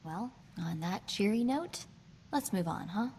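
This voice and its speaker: A woman voicing a quick-witted, sardonic young female hacker in a space video game, chatty in manner. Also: medium pitch, animated, a little mellow, a little hiss or hum. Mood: wry, mischievous.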